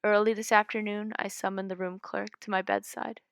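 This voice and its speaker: A woman reading a scary short story aloud in a measured, dramatic way. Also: medium pitch, flat delivery, creaky, clean tone, dry recording, studio quality. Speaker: audiobook reader. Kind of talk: scripted story narration.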